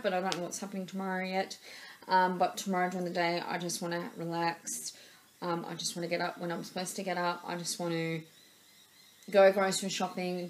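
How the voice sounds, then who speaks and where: A woman's voice: on the low side, a little hiss or hum. Stuffy-nosed Australian woman vlogging. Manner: rambling, many fillers and repeats. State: stuffy nose, sore throat. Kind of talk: casual vlog chat. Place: small room indoors. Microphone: webcam at desk level.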